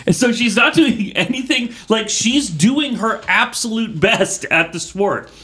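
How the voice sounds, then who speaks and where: A man co-hosting a podcast, arguing in a casual debate with an animated, enthusiastic manner, often cutting in. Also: sits high, measured pace. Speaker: podcast co-host.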